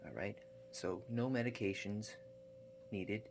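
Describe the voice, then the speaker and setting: A man doing a softly spoken ASMR roleplay as a calm relaxation agent, hushed and halting, with gentle check-in questions. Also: lowish voice, creaky, background noise. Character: calm, awkward house-call relaxation agent. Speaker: ASMR performer.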